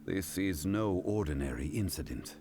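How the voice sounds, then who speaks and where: Casting: dignified, composed video game scholar, deep voice, grave and unhurried formality. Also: male voice, expressive, mellow, a little hiss or hum. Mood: grave, measured. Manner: measured, formal, erudite. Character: composed, dignified male sage, calm and grave.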